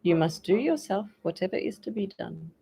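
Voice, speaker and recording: A woman's voice: mid-range voice, animated, moderate pace, gravelly, warm tone, slight background noise, voice pushed up. A woman voicing a scornful male beggar musician who answers his bride curtly. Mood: brusque. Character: scornful beggar musician man, secretly a king.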